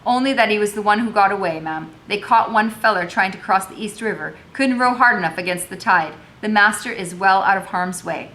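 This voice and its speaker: A woman's voice: mid-range voice, brisk, hardly any pauses, bright, forceful tone, poor audio. A woman voicing a plain-spoken, folksy servant passing on gossip, in a read-aloud of a historical novel. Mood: reporting news. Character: gossipy servant woman bringing news from the market.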